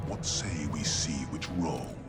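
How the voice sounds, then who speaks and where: Very deep, sly, mocking rogue. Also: male voice, unhurried. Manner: taunting, theatrical, archaic phrasing. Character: haughty mocking male rogue, sly and menacing. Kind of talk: fantasy game voice acting. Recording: loud music behind the voice.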